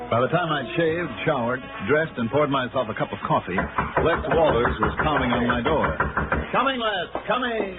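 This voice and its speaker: An actor playing a jaunty, wisecracking insurance investigator in a 1960s radio detective drama, with a wry way of talking. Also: male voice, on the high side, sing-song, normal speed. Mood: jaunty narration. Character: breezy, wisecracking male freelance insurance investigator. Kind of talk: 1960s radio detective drama acting and first-person narration. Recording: tinny 1960s radio broadcast, loud music.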